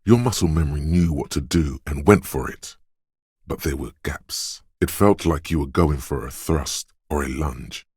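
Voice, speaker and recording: An actor voicing a solemn inner voice of instinct and marksmanship in a video game, with measured, deliberate, dramatic narration and a husky, gravelly tone. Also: male voice, rumbling low, darkish tone, no echo, studio quality, heavily acted. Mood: intense, solemn.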